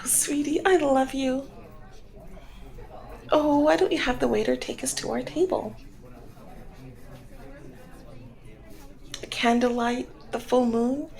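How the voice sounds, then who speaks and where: A woman's voice: high-pitched, vocal fry. A woman playing a flirty, commanding female mob boss in an ASMR roleplay, talking in a teasing, coy and controlled way. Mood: gushing, lovey-dovey. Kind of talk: ASMR roleplay, scripted one-sided dialogue.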